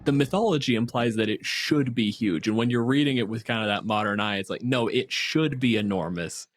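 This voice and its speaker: A man with a medium-pitched voice talks casually about old lore. His delivery is wry and explanatory, with some casual swearing. The recording is dry and free of room sound. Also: fairly quick, no echo. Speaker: podcast co-host. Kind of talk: casual discussion of monster lore.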